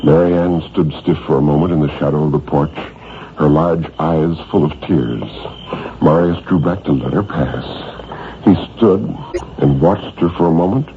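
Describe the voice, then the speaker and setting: A man narrating a horror story in a theatrical, suspenseful 1950s radio style. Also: rumbling low. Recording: noisy, muffled 1950s radio recording.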